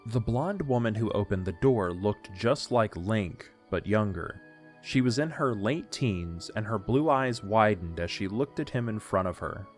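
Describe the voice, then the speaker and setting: A man's voice: fairly low pitch, lively intonation, unhurried, faint music behind. A man narrating a scripted story for an audio drama.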